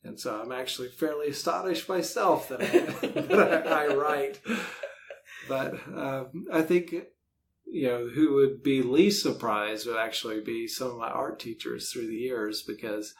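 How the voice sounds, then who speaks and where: A middle-aged man giving casual, rambling, self-deprecating answers as a podcast guest. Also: measured pace. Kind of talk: casual conversational interview answers. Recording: faint low rumbling background noise.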